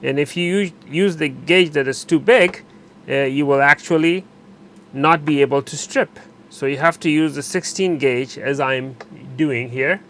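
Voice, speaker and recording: A man narrating a hands-on repair tutorial while he works, pausing and correcting himself as he goes. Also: on the high side, fairly bright tone, a little hiss or hum. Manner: unscripted, pauses and self-corrects while working. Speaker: electronics repair instructor.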